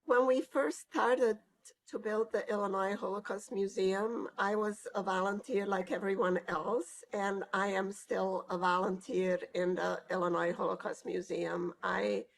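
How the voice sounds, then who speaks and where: Old lady, super slow, kinda gravelly, lots of ums and pauses, oral history interview. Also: mid-range voice, fairly even intonation, low-quality recording. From Hungary. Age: older. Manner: slow, reflective, hesitant with pauses and fillers. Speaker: Holocaust survivor giving testimony.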